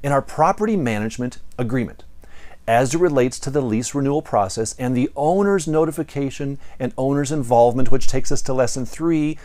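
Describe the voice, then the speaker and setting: A man's voice: mid-range voice, expressive, a little fast, warm tone. An American man in his fifties presenting a playful storytelling lesson with theatrical, emphatic delivery. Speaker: presenter of property management lessons. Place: indoor studio in front of a backdrop. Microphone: lapel mic.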